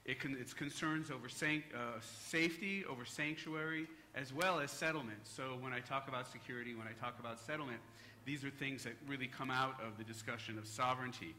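Bright-voiced man giving a conference talk. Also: mid-range voice, a little fast, slight background noise. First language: English. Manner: relaxed, joking, with frequent fillers. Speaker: conference speaker. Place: hotel conference hall. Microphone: podium microphone.

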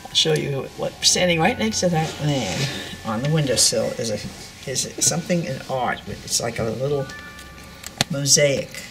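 A woman's voice: very deep voice, expressive, measured pace. Older lady doing a casual home tour, rambly and chatty, music playing in the background. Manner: chatty, meandering, trailing off and correcting herself. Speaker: dollhouse owner giving a tour. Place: indoors at home, filming a dollhouse.

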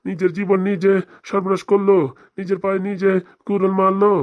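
Man playing a sing-song, sly village priest, flat-toned. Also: sits high, a little fast, dull audio, lightly acted. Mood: sly muttering. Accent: Bengali, rural dialect. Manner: sing-song mock-solemn chanting. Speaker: wedding priest. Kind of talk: comic cartoon voice acting with mock mantra chanting.

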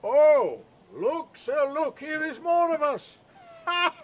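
Man acting very high, excitable mocking old man. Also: takes it slowly, a little hiss or hum, exaggerated voice. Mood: gleeful mockery. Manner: exclamatory, teasing. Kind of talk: Shakespearean audio drama acting.

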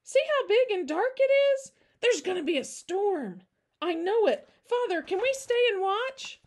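An earnest little storybook girl, pitched high and brimming with curiosity. She speaks in a bouncy, questioning way with childlike eagerness. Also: sing-song, unhurried, a little mellow, exaggerated voice. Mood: excited.

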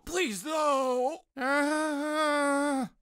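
A man's voice: very high voice, fairly even intonation, extremely slow, pushed and bright, no echo, studio quality, heavily acted. A man playing a paranoid, foul-mouthed, bumbling cartoon dad in a comedy video game, with a jittery, whiny delivery. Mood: pained. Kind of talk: animated comedy game voice acting.

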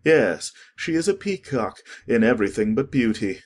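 An actor playing a languid, witty, cynical aristocrat in a dramatic audiobook, with a teasing drawl. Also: male voice, medium pitch, big pitch swings, normal speed. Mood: wry.

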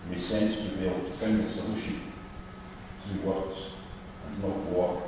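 An older man reading a formal prayer in solemn, measured phrases at a church lectern. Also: low voice, steady tone. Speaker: church member leading prayers. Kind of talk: formal read-out intercessory prayer. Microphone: gooseneck lectern microphone. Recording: echoey church hall, distant, noisy.

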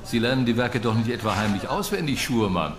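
A man's voice: mid-range voice, expressive, brisk, echoey room, noisy background, close to an everyday voice. An actor playing a skeptical male observatory director with a dry, teasing, dismissive manner in docudrama dialogue.

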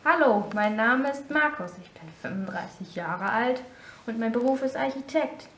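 A man's voice: extremely high pitch, expressive, normal speed, sharp tone, full character voice. A boy voicing a thirty-five-year-old architect father. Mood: plain. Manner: short matter-of-fact remarks. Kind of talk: homemade toy-figure film acting. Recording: roomy echo, faint background hiss.

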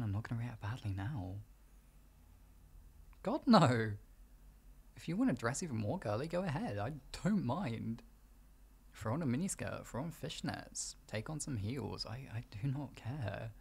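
A man acts out a doting boyfriend in an intimate ASMR roleplay. His voice is gravelly and husky at a medium pitch. He speaks softly and coaxingly, like a partner offering comfort and reassurance. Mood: earnest reassurance. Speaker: voice actor in audio roleplay.

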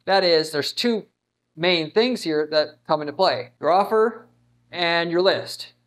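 Guy in his 30s with a pretty high voice explaining marketing stuff, chatty and emphatic. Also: measured pace, a bit bright. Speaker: marketing instructor. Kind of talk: informal whiteboard lesson, explaining to camera. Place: indoors in front of a whiteboard. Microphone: lapel mic.

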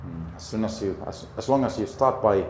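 A middle-aged man calmly coaching a pilot step by step in a flight simulator, encouraging and full of pauses and fillers. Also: fairly low pitch. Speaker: flight instructor. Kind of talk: patient step-by-step instruction. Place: indoor flight simulator dome. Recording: roomy with background hum.

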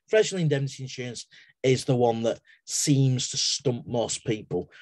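A middle-aged Englishman explains things to a webinar audience, chatty and digressive, with lots of restarts. His voice sits at an ordinary middle pitch. The sound is close and dry, picked up by a laptop webcam in his home study. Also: darkish tone, no room sound. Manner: chatty, digressive, with everyday analogies and frequent restarts. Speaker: webinar guest speaker, exam assessor. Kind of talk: casual explanatory talk in a webinar. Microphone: laptop webcam on a video call.

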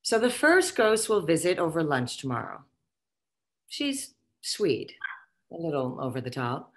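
A haughty dead socialite lords it over her listener in a low voice. Her comic table-read delivery is theatrical and condescending, with big swoops in pitch. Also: very animated, a little slow, exaggerated voice. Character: haughty, foul-mouthed ghost of a dead socialite boss. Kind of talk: virtual table read, comic stage acting. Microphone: video call.

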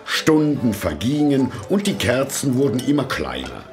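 Slow, expressive male storyteller. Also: medium pitch. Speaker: narrator. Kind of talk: scripted fairy tale narration. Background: music.

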